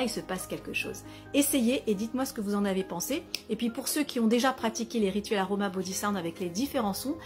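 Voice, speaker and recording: A woman wellness author talks to camera, explaining her idea in a lively way with examples and asides. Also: on the high side.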